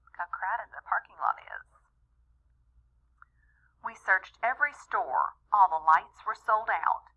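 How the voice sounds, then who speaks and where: Bright-voiced woman reading slowly to children. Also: mid-range voice, dull audio. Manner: animated, deliberate, with small asides to young listeners. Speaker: storyteller reading a picture book to children. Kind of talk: expressive read-aloud of a rhyming children's story.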